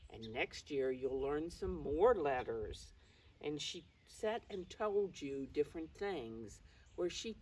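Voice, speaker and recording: An older woman reading aloud to young children, pausing to explain things in simple words. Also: low-pitched, darkish tone. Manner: addresses children simply, calls self by name in third person. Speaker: preschool storytime reader. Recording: distant mic, faint background noise.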